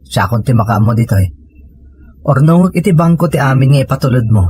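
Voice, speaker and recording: A man's voice: mid-range voice, animated, a little fast, a little mellow, slight background noise, natural voice. A man with a Filipino accent acting a cheeky, money-minded old family man in an audio comedy drama. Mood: soft, reassuring.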